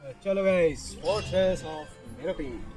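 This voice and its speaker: A man's voice: sits high, animated, very fast. A man with a Bengali accent giving casual gameplay commentary, talking informally and directly to his viewers. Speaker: gaming video host. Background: game music.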